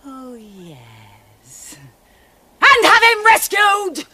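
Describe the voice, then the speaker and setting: Casting: witch queen, evil and volatile, slow grandiose delivery with huge swoops. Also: very high voice, expressive, heavily acted. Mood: scheming, scornful. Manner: grandiose, incantatory, swinging from hushed to shrieking. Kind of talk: theatrical fantasy drama acting. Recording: faint background noise.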